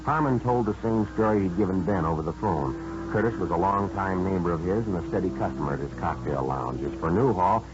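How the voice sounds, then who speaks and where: A male actor plays a businesslike American police detective with a low voice. He is clipped and deadpan, stating facts plainly in old radio crime drama style. The recording is dull and vintage, with music clearly behind him. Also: close to an everyday voice. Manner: clipped, deadpan, matter-of-fact. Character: businesslike male police detective sergeant narrating his cases. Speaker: detective narrator. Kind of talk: 1950s radio drama acting, scripted narration.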